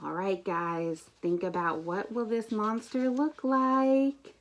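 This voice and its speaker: A woman reading a picture book aloud to young children in an expressive teacher's style. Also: medium pitch, slow pace, muffled recording. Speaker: pre-K read-aloud teacher.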